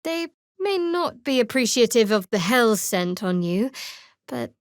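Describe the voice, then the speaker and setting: An actress playing a guarded, wry young half-elf cleric in a fantasy video game, speaking in a measured, dry, slightly haughty way. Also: female voice, fairly high pitch, big pitch swings, no room sound. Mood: dry, composed. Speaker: video game character voice actor. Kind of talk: scripted fantasy game dialogue.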